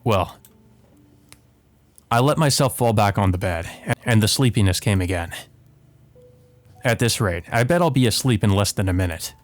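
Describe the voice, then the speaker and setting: A man's voice: fairly low pitch, lively intonation, moderate pace, long pauses, no room sound. A man hosting a let's play, reading visual novel narration aloud and adding casual commentary. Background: music behind the voice.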